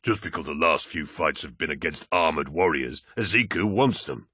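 A stern, practical soldier, voiced by a man narrating a novel. The voice is low and husky, insistent and solemn as it argues a point. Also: animated, normal speed, sharp tone, no room sound, clean studio sound, heavily acted. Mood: argumentative. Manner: insistent, reasoning.

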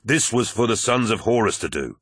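A man's voice: on the low side, lively intonation, brisk, a bit bright, slightly rough, dry recording, clean studio sound, pitched above the performer's own voice. A man voicing an earnest warrior in an audiobook, appealing persuasively to a comrade. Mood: evasive. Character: earnest male warrior, appealing to his brother.

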